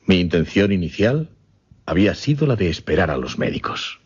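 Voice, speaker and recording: Un actor de radioteatro que interpreta a un solemne caballero hipnotizador que relata su experimento macabro, formal y con pausas dramáticas. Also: male voice, low-pitched, sing-song, darkish tone, slightly rough, heavily acted. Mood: earnest, explaining. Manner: deliberate, formal, dramatic pauses. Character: grave gentleman hypnotist recounting his macabre experiment. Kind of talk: radio drama acting, dialogue narration. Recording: slightly muffled, lo-fi audio.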